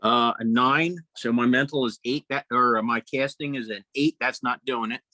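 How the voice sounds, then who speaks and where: A man's voice: mid-range voice, fairly even intonation, normal speed, sharp tone, no room sound. A man talking casually at the table as a player in a role-playing game.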